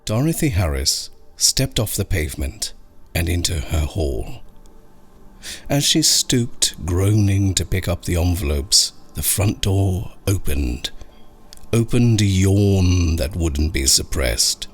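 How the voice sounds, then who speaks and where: Deep, husky, slow male storyteller. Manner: slow, expressive, dramatic storytelling. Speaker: narrator of horror short stories. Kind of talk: scripted audiobook narration of a scary story. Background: music under the voice.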